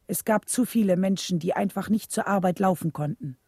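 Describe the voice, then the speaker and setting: An actress playing a grave widow recounting a civil rights struggle in a measured, earnest radio play narration. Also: female voice, medium pitch, dark tone, husky, no room sound, clean studio sound. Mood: sober, tense.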